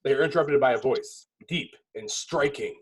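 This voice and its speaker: A man with a husky voice at a middling pitch narrates a film script. He delivers stage directions dramatically, in short phrases broken by pauses. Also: low-quality recording. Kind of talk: dramatic screenplay narration in a table read.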